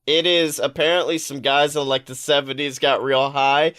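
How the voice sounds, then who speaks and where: A man co-hosting a podcast in casual comedic chat, telling stories excitably and playfully, with some swearing. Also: mid-range voice, normal speed, clean tone. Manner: excitable, playful storytelling with profanity. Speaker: podcast co-host.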